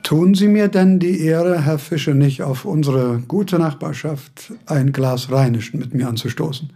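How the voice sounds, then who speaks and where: An actor playing a fussy old philosophy professor in a radio play, formal, courtly and pedantic. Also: male voice, on the high side, unhurried, warm tone, husky. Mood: courteous. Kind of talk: German radio play acting.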